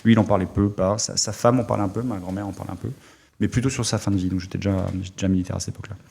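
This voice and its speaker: A middle-aged man from Alsace, a former army officer, talking as an interview guest in a halting way with many fillers. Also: low-pitched, fairly even intonation, creaky, a little mellow, slightly rough. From Alsace, France. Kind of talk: casual interview talk.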